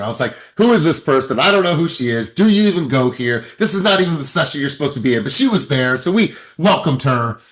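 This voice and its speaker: A man's voice: on the high side, a bit bright. A man hosting a group coaching session on a video call, upbeat and hyping up his audience with repeated phrases. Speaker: sales coach and session host.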